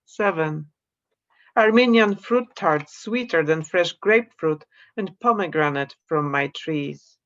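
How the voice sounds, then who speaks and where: A woman from Poland with a Polish accent, reading her poems slowly and introducing them in a measured way on a video call from her home. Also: deep voice, expressive, highs cut off. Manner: slow, measured reading of verse. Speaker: poet. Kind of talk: poetry reading with spoken introductions. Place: room at home.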